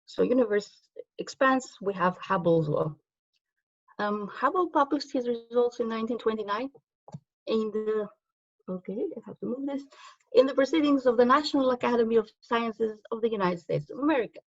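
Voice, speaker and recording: A woman's voice: on the high side, lively intonation, moderate pace, no echo. Greek-born woman, native Greek speaker, conference presenter; loose, um-filled delivery, dry and slightly muffled recording. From Greece. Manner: conversational, frequent ums and self-corrections. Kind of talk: conference talk with slides.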